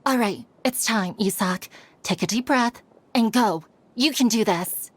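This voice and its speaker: A woman's voice: on the high side, unhurried. A woman voicing a reassuring, upbeat young woman in a video game, speaking encouragingly.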